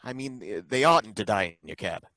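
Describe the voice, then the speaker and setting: Fumbling city inspector, mid-pitched, lively delivery, dry close sound, old-time radio play. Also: male voice, no room sound. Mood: suspicious. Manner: probing, hesitant, halting questions. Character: suspicious, bumbling male license inspector. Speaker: license inspector.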